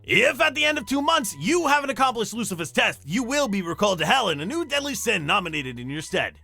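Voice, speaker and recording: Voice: mid-range voice, very animated, quick pace, gravelly, bright, forceful tone, soft background music, full character voice. An actor voicing a flamboyant, cocky demon guide from hell in a visual novel, with a showy, self-satisfied delivery. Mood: officious. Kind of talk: theatrical visual novel character acting.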